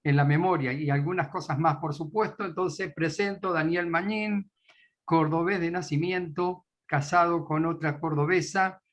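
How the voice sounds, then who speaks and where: A man's voice: fairly high pitch, steady tone, normal speed. Hesitant Argentine man hosting. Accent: Argentine Spanish. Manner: hesitant, many fillers. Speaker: meeting host. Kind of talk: church meeting introduction.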